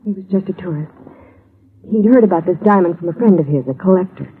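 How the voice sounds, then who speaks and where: A woman's voice: lowish voice, quick pace, a little hiss or hum, close to an everyday voice. An actress playing a grieving, polite young woman in a 1950s radio drama, earnest and subdued. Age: young adult. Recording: muffled, tinny old radio recording.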